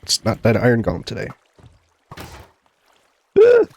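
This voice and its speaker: A man's voice: medium pitch, huge pitch swings, slow pace, vocal fry, a little mellow, husky. A man with a congested, plugged-up nose from a cold gives casual, rambling game commentary, often talking to himself and to the game's characters. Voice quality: congested, stuffy-nosed. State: getting over a sickness, plugged nose. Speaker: host of a gaming video.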